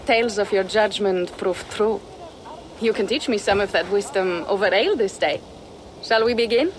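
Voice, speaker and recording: A woman's voice: mid-range voice, normal speed, slight background noise, lightly acted. A woman playing a proud, eager young Norse warrior envoy in a video game, speaking formally and persuasively in old-fashioned phrasing. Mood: courteous. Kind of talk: video game dramatic dialogue. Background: background music.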